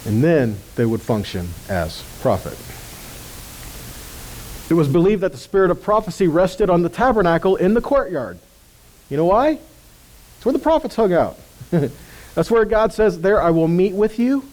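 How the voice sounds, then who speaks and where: Mellow-voiced man teaching scripture. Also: high-pitched, sing-song, moderate pace, long pauses, poor audio. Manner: conversational, checks in with listeners with "Okay?". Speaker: ministry teacher.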